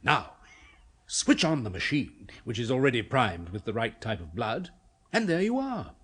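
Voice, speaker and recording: Scientist in a 70s radio horror play, swoopy eager delivery, slightly husky, coaxing and weirdly precise. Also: male voice, medium pitch, very animated, moderate pace, fairly bright tone. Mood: clinically eager. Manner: clinically precise, fervent, coaxing. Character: eager, persuasive male scientist obsessed with a macabre experiment. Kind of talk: 1970s British radio horror play acting.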